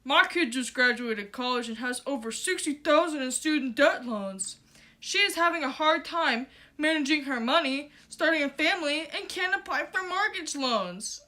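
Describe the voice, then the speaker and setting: An earnest male puppet pundit, played by a woman, explains things in a scripted puppet show. The voice is very high and bright, with a lively swing. The delivery is emphatic and opinionated. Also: normal speed. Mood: indignant.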